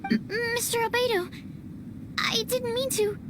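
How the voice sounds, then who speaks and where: A woman's voice: very high-pitched, steady tone, unhurried. A woman voicing a timid, earnest young woman alchemist in a video game, hesitant, polite and apologetic in formal phrasing. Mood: embarrassed, apologetic. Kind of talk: animated game dubbing. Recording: clearly noisy background.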